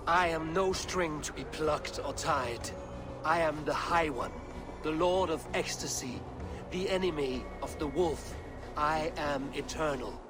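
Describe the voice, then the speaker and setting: A man's voice: a little high, measured pace, over music, lightly acted. An actor playing a proud, aging king of the gods, commanding and brooding, in a video game cutscene with score behind.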